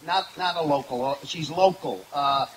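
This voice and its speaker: A man playing a stammering, high-pitched radio straight man. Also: moderate pace, close to an everyday voice. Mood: flustered. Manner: stammering, hesitant. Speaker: host of live variety show. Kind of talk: old-time radio comedy acting. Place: outdoor night stage in the woods. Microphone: stand microphone, filmed from afar. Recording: noisy live outdoor stage recording, audience.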